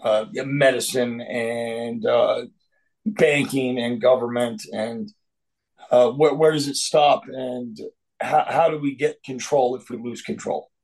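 A man's voice: medium pitch, fairly even intonation, takes it slowly, darkish tone, poor audio. A man on a podcast roundtable, arguing his view with frequent restarts and fillers. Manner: opinionated, hesitant restarts. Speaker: roundtable panelist. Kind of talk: casual podcast discussion.

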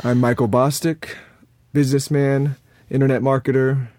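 A man's voice: mid-range voice, level tone, moderate pace, darkish tone, no echo, studio quality. A man co-hosting a podcast, upbeat and teasing in casual banter with his wife. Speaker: podcast co-host.

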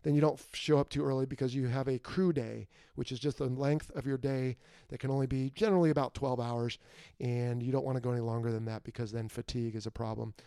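A man's voice: medium pitch, steady tone, quick pace, mellow, smooth, dry recording, studio quality. A man in his forties hosting a podcast, answering a listener question and then interviewing a guest in an upbeat, emphatic way. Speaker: podcast host.

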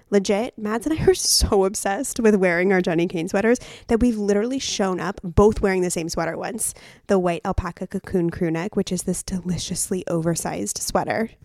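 A woman's voice: mid-range voice, a little mellow, dry recording, studio quality. A woman co-hosting a podcast, reading a sponsor ad in a chatty, enthusiastic, personal way. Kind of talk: chatty scripted ad read.

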